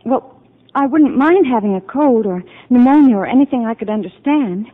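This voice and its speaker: An actress playing a confused, fragile married psychiatric patient, hesitant and bewildered, in 1950 radio drama style. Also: female voice, fairly high pitch, animated, moderate pace. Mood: childlike, anxious. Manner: hesitant, bewildered, childlike questioning. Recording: muffled narrow-band 1950 radio broadcast.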